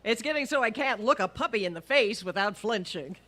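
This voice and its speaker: A woman's voice: a little high, expressive, fairly quick, sharp tone. A woman playing a wry English teacher with dry, sardonic quips in a radio comedy. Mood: wry narrating. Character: wry, wisecracking female high school English teacher. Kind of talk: old-time radio comedy acting.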